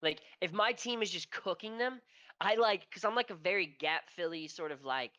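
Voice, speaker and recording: Male co-host, nasal and stuffy, sharp tone, dull lo-fi sound, swears and rambles. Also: sits high, moderate pace, no echo. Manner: profane, rambling storyteller. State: stuffy from allergies. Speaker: podcast co-host. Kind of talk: casual esports podcast chat.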